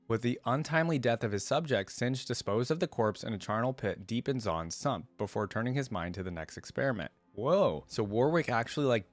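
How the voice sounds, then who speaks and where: Low-voiced man, casual reaction host. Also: no room sound. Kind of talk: casual commentary and reading lore aloud.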